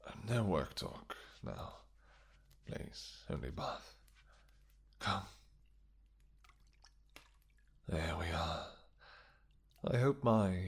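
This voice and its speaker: A man playing a tender, regal vampire king in a romantic fantasy audio roleplay, speaking softly and courteously with a halting delivery. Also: deep voice, vocal fry, breathy edge, exaggerated voice. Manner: soft, courtly, hesitant, halting phrasing. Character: tender male vampire king, winged, regal and devoted lover.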